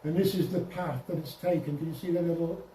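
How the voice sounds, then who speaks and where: A man giving an informal software demonstration to a group, explaining step by step with some hesitation and self-correction. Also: on the high side, fairly even intonation, fast talker. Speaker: presenter at an amateur radio club. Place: indoor club meeting room. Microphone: distant room microphone. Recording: echoey room, distant mic, faint noise.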